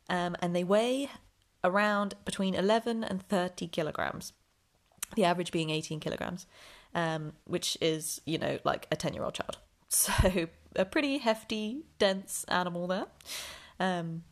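A woman's voice: fairly low pitch, steady tone, measured pace, creaky. Chatty woman, a bit nasal. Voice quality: nasal and snuffly. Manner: chatty, full of ums, rambling. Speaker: podcast host. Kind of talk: casual solo podcast.

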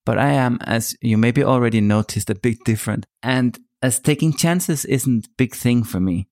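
A man in his forties with a Danish accent, hosting his own podcast and telling his life story in a calm, measured monologue. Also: mid-range voice. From Denmark. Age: 40s. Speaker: podcast host. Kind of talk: scripted solo podcast monologue.

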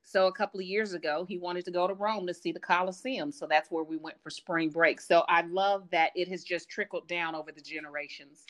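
A woman from Kansas City, a grandmother, chatting as a guest about her family travels in a relaxed storytelling way. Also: fairly low pitch, fairly quick, hardly any pauses. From Kansas City, Missouri. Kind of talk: casual interview chat.

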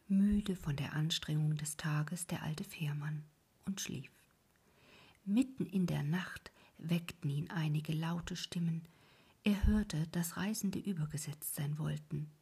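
A woman hosting a sleep podcast, reading an old fairy tale in a soft, calm whisper. Her voice is breathy and sits a little low.

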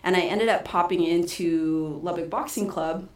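A woman who coaches fighters answers interview questions in a soft-spoken, casual way. Her voice sits low for a woman. An echoey room surrounds her. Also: steady tone, moderate pace. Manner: soft-spoken, storytelling with light laughs. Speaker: fighter, promoter and boxing coach.

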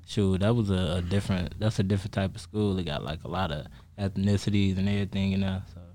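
Low-voiced slangy American male host. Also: fairly even intonation, fast talker, warm tone, dry recording. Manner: slangy, repeats short affirmations. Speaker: radio interview host. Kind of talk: casual interview chat.

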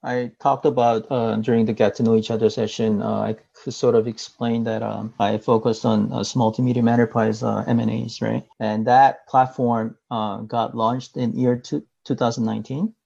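A man from Korea with a Korean accent, answering interview questions in careful English with many filler pauses. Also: mid-range voice, little pitch movement, creaky, warm tone, husky. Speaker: interview guest. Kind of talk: recorded video call interview. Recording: dull muffled audio.

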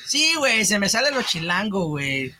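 Podcast co-host: Mexican man with a slightly high-pitched voice, loose street-slang conversational delivery. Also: big pitch swings, normal speed, pushed and bright. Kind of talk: casual podcast chat.

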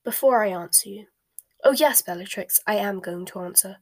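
A woman's voice: mid-range voice, dry recording, clean studio sound, natural voice. Woman reading as a sneering cold wizard. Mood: cool, sardonic. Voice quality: breathy, hushed. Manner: slow, sneering, measured. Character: cold sardonic middle-aged male wizard.

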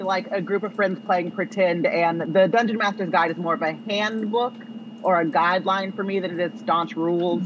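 LA streamer, breezy self-amused talk, noisy line. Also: female voice, on the low side, lo-fi sound. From Los Angeles. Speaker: panelist, streamer. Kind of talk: casual panel chat.

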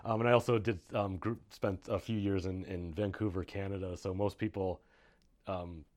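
A man with a North American accent and a slightly low voice answers questions as a podcast guest. His delivery is casual and halting, with frequent pauses as he works toward each point. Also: steady tone, a little mellow. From suburbs of Boston, United States. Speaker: interview guest, nonprofit development director.

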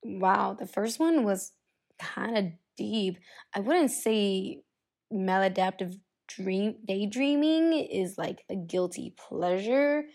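Young woman, solo podcast host; hoarse, gravelly, husky after illness; chatty, restarts often. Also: mid-range voice, lively intonation, slow, darkish tone. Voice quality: husky, raspy. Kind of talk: casual solo podcast, reading posts aloud.

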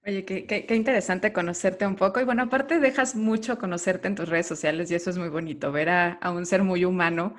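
A woman's voice: fairly low pitch, fairly even intonation, fairly quick, hardly any pauses. Woman hosting an interview. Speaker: podcast host.

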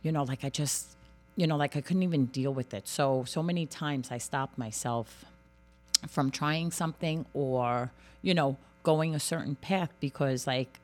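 A woman's voice: very deep voice, unhurried, darkish tone. A woman hosting a casual podcast chat, talking heartfelt and personal, with many "like" and "you know" fillers. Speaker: podcast host.

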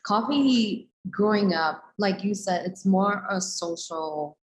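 A woman's voice: medium pitch, slow, low-quality recording. A woman from Malaysia explaining things conversationally as a guest in a casual interview. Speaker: guest, coffee company co-owner.

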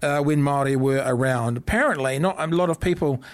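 A man with a New Zealand accent hosting an interview show in a chatty, rambling way, a little husky after an illness. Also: medium pitch, fairly quick. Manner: chatty, rambling, with many restarts. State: recovering from illness, slightly husky. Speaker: podcast host. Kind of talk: casual interview chat.

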